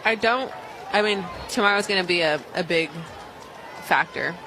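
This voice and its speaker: An American man giving conversational softball color commentary beside a play-by-play caller. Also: high voice, normal speed. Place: outdoor softball stadium. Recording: noisy outdoor stadium broadcast, loud crowd. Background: crowd and stadium noise.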